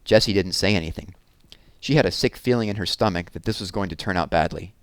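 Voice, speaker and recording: A man reading a children's novel aloud in an expressive storytelling style. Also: low voice, quick pace, smooth, no echo.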